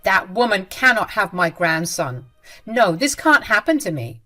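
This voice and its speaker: A woman voicing a scornful, spiteful middle-aged mother-in-law who talks loud and shrill. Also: very animated, a little slow, faint music behind, exaggerated voice. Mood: furious.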